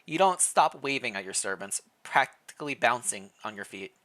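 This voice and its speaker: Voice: mid-range voice, lively intonation, moderate pace, fairly bright tone. A streamer reading interactive fan fiction aloud, with playful asides between the narration. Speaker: streamer, reader.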